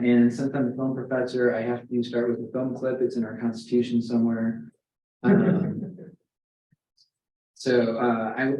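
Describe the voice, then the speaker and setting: A man leading a faculty workshop as a film professor, chatty and self-deprecating. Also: mid-range voice. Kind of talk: casual workshop talk. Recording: dull, echoey room from far off.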